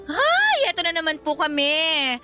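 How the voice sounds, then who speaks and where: A sassy young woman who mothers and teases her friend in a radio drama. Her voice is high and piercingly bright, her delivery exaggerated. Light music plays underneath. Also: wildly swooping pitch, unhurried, full character voice. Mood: teasing. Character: sassy, teasing, protective young female best friend. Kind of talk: radio romance drama acting.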